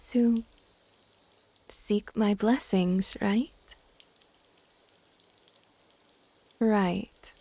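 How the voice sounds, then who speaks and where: A woman playing a possessive, affectionate dragon goddess in an ASMR audio roleplay, with a soft, whispery, teasing and intimate delivery. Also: no echo, exaggerated voice. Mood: teasing, welcoming. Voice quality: soft, breathy whispering mixed with low-volume speech. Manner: doting, teasing, unhurried.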